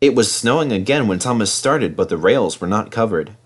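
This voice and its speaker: A man's voice: on the low side, lively intonation, a little fast, husky. A man reading a children's story as scripted narration. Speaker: storybook reader.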